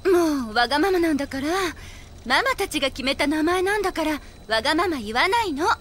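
A woman's voice: sits high, expressive, a little fast, a bit bright, slight background noise. A woman playing a motherly young anime character who scolds, in an audio drama. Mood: pouting scolding.

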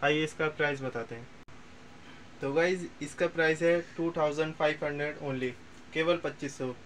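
Male seller, slightly high voice, casual step-by-step demo with repetition, amateur indoor recording with background noise. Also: normal speed, a bit bright, low-quality recording. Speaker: seller presenting second-hand audio equipment. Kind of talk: casual product demonstration.

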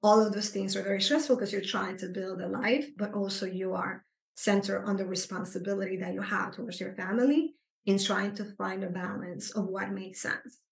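Gravelly woman in an echoey room. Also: mid-range voice, normal speed. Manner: earnest, explanatory, animated when stressing points. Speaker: psychotherapist guest. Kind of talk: conversational interview.

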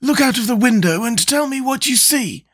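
A man's voice: very high voice, animated, normal speed, fairly bright tone, slightly rough, voice pushed up, heavily acted. A man voicing a thoughtful, mild-mannered living scarecrow in a children's storybook. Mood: thoughtful, quiet.

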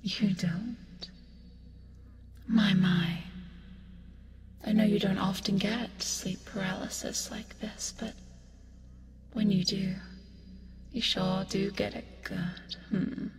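Woman playing a slow, whispery seductive demoness. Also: mid-range voice, fairly even intonation, noisy background. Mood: soothing, teasing. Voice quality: breathy, often whispered, with creaky fry. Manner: slow, taunting, coaxing with pet names. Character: seductive, teasing female sleep paralysis demon, creepy yet soothing. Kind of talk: ASMR roleplay, hypnotic bedtime delivery. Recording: long reverb, music behind. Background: music.

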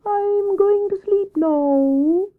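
A woman voicing a cool, bossy male owl in a children's story, with a drawn-out, commanding delivery. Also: very high voice, phone-quality sound, pitched above the performer's own voice, heavily acted. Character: imperious, cool old-fashioned male owl, drawling and bossy.

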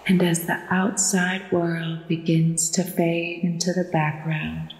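A woman narrating a guided sleep meditation in a soothing, hushed and deliberate manner. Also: fairly low pitch, level tone, a little hiss or hum. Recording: echoey long reverb with music behind. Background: relaxation music with ocean waves.

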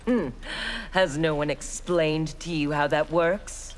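A woman's voice: low voice, steady tone, slow. A woman voicing a proud, ruthless young female ninja warrior in a video game, speaking in a cold, haughty, clipped way.

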